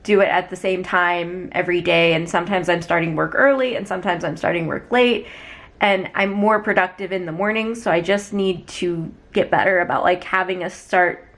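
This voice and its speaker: A young adult woman with a slightly raspy voice, chatting casually and in a rambling, conversational way to her vlog viewers. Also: lowish voice, steady tone, moderate pace, fairly bright tone. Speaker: small business owner vlogger.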